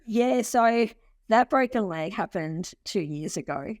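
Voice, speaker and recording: A woman in her forties chats as an interview guest, her voice at an ordinary middle pitch. She tells stories with lively energy, laughing and restarting her sentences. The sound is dry and close. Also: a little slow, dry recording. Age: 40s. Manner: animated storyteller who laughs often and restarts sentences. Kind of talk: casual conversational interview.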